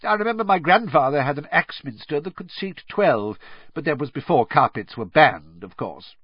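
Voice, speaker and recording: A man's voice: a little high, very animated, normal speed, dry recording, muffled recording, pitched above the performer's own voice. A man voicing a stiff, elderly official in an audiobook, dry, formal and impatient.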